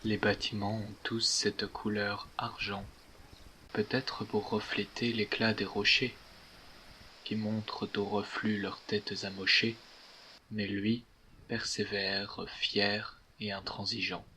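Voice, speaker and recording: A man reciting scripted verse in a measured, declamatory way, pausing between phrases. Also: lowish voice, flat delivery, sharp tone, slight background noise, poor audio.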